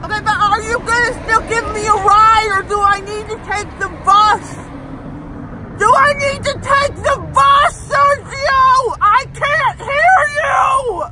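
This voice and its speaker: A woman playing a frantic, broke pizza restaurant worker in a comedy sketch, loud, desperate and whining. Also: very high-pitched, slow pace, a loud crowd, heavy background noise, pitched above the performer's own voice. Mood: desperate yelling. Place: outdoors on a street corner.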